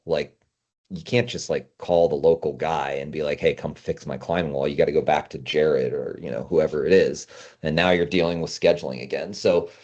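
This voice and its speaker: A man's voice: a bass voice, a little mellow. A man speaking as a guest consultant in a casual interview, emphatic and explaining through hypothetical quotes. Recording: dull, band-limited audio.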